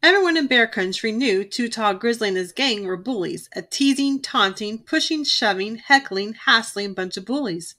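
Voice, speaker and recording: A young adult woman giving a lively, expressive read-aloud of a children's storybook. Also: on the high side, normal speed, hardly any pauses. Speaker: children's book reader.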